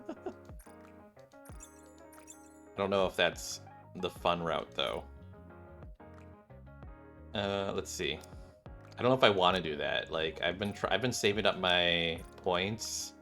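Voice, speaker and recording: A man with a lowish voice talks casually over a video game stream. He rambles and mutters to himself as he plays, with game music behind him. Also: lively intonation, slow pace, vocal fry, long pauses, pushed and bright. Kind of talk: casual live stream chat over gameplay.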